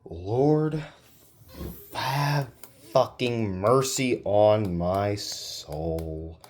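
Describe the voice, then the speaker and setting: A man giving a casual, unscripted hobbyist demo, muttering haltingly to himself. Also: lowish voice, expressive, darkish tone. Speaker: hobbyist demonstrating weather radios. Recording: clear background noise with clicks.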